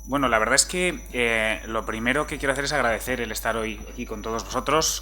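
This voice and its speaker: A man speaking as a panelist at a forum, polite and measured in his delivery. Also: fairly low pitch, sharp tone. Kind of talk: panel discussion talk.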